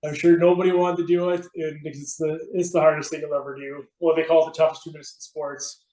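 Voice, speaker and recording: A man's voice: on the high side, little pitch movement, fast talker, mellow. A middle-aged man from Canada talking casually as an interview guest about his own experience. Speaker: podcast guest, veteran firefighter and competitor. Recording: roomy, echoey sound.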